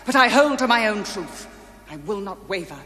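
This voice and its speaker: A woman's voice: medium pitch, lively intonation, normal speed, pushed and bright. An actress playing a haughty, indignant British lady in a television play, declaiming imperiously about what she holds dear. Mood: imperious resolve. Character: haughty, indignant lady devoted to great art. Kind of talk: theatrical television play acting. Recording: echoey hall with faint ambient noise.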